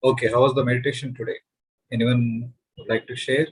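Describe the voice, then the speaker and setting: Raspy man leading a call. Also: mid-range voice, brisk, rough recording. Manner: calm, plain, inviting people to share. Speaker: meditation session leader. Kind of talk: online group session hosting. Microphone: video call.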